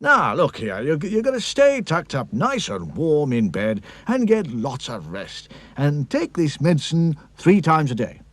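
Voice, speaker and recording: Man voicing a brisk cartoon doctor. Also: on the high side, wildly swooping pitch, dry recording, full character voice. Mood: concerned, instructing. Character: kindly, matter-of-fact cartoon doctor.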